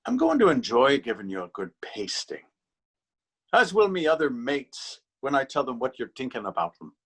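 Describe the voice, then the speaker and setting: A man's voice: mid-range voice, sing-song, normal speed, slightly rough. Man acting a stern, menacing policeman. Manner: stern, threatening. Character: gruff, menacing police officer, proud and easily insulted. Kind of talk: readers theater stage acting.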